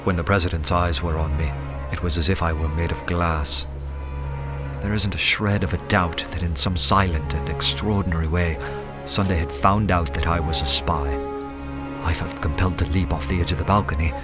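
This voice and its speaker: Detective narrator male actor: low voice, measured and uneasy, first-person radio drama, clear music bed. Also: steady tone, natural voice. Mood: fearful dread. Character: anxious undercover detective man narrating his dread.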